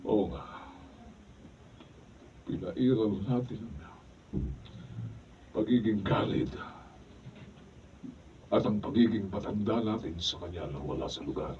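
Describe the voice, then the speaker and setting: A man's voice: lowish voice, slow pace, long pauses, warm tone, distant mic. A man with a Filipino accent playing a domineering, blustering older brother-in-law in a radio drama. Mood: remorseful. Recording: muffled, noisy studio, off-mic.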